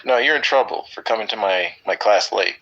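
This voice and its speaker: Deep-voiced upbeat male English teacher. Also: a little fast, gravelly, sharp tone, muffled recording. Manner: upbeat, teasing. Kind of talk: online class.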